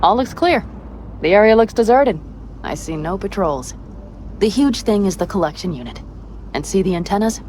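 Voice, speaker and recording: An actress playing a tough young woman mercenary in a video game, brisk, practical and wry. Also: mid-range voice, expressive, darkish tone, close to an everyday voice. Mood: focused, wry. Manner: brisk, practical, wry, casually foul-mouthed. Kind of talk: video game cutscene dialogue, naturalistic. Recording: clear vehicle and ambience noise behind.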